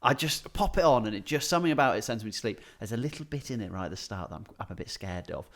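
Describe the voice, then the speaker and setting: A man's voice: fairly low pitch, expressive, fast talker, fairly bright tone. A man co-hosting a comedy podcast, telling everyday anecdotes in casual, conversational chat.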